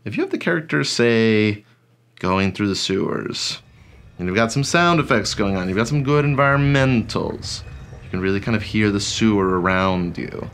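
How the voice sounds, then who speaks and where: A man's voice: on the low side, animated, normal speed, gravelly, fairly bright tone, a little hiss or hum. A man giving scripted instructional narration as a course teacher, conversational and fond of rhetorical questions and acted-out examples. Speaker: instructor of an online audio drama course.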